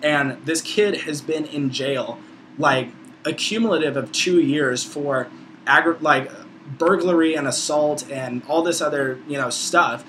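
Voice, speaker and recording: Male, about 20, American accent, informal college vlogger, opinionated and profane, bright forceful voice, slightly noisy recording. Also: on the high side, measured pace. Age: around 20. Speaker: video blogger, college student. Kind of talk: casual opinionated vlog monologue.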